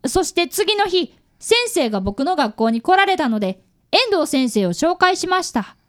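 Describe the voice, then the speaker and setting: A woman's voice: extremely high pitch, big pitch swings, moderate pace, no room sound, clean studio sound. A woman voicing an earnest fifth-grade boy who is an apprentice detective and narrates a radio drama. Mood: earnest narrating. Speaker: narrator and actor.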